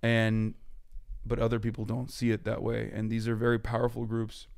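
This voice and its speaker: A man in his thirties from Turkey, a podcast guest ranting about politics in a blunt, profane way in a studio on a boom mic. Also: completely flat delivery, creaky, darkish tone. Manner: blunt, profane, rapid explaining. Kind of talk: heated political chat. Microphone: boom mic on stand.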